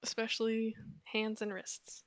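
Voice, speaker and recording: A hoarse young woman rambling casually about her comic work on a live stream, self-deprecating and full of fillers. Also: a little high, completely flat delivery, unhurried, no echo. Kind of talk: casual rambling while drawing.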